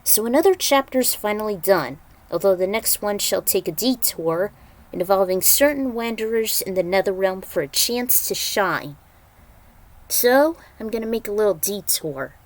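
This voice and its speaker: A woman's voice: mid-range voice, lively intonation, normal speed. A woman giving casual, rambling commentary as a fan fiction writer and host, with a blunt, griping manner.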